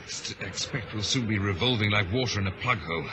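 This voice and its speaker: An actor playing a dutiful, brisk chief officer aboard a ship in a radio drama, on a noisy vintage recording. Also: male voice, fairly low pitch, lightly acted. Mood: tense, dutiful. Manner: brisk, deferential to superiors.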